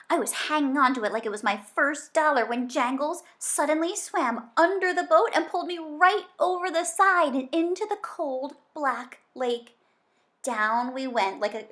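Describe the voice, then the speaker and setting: Higher-voiced woman reading a storybook aloud. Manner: dramatic, varying from hushed suspense to emphatic excitement. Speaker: children's book reader. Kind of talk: expressive storybook read-aloud.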